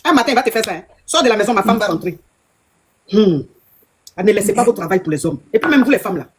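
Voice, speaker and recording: A woman's voice: mid-range voice, expressive, fast, husky. A woman relationship coach, giving emphatic advice that repeats phrases and scolds her listeners. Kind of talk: advice call-in talk.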